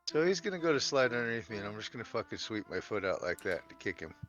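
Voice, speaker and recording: Male tabletop player, gruff and profane in-character banter, a little dull-sounding, faint music behind. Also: fairly low pitch, very animated, fast, a bit bright. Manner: gruff, heavy swearing. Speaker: player in a tabletop roleplaying game. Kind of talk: casual in-character roleplay banter.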